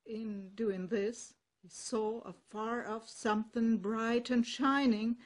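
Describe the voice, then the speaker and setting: Older woman reading a fairy tale slowly. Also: fairly high pitch, fairly even intonation. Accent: German. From Germany. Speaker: storyteller.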